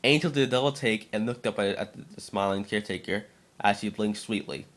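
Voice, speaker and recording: A man with a fairly low voice narrates a storybook-style fan tale. His reading is amateur, with occasional stumbles and repeated words. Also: a little fast, a bit bright.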